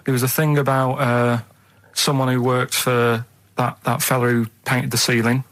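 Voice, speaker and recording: A man chatting casually on radio, telling stories in a halting, colloquial, rambling way. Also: medium pitch, level tone, fairly bright tone, no echo. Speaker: radio show co-host. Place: radio studio.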